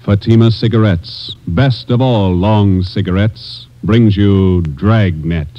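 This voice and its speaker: Deep-voiced formal radio announcer, man. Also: slow, faint music behind. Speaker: program announcer. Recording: muffled vintage radio recording.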